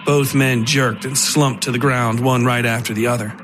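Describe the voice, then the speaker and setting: A man narrates a scripted horror story for a podcast, in a middle-pitched voice. Music runs clearly behind the narration. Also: fairly even intonation, normal speed.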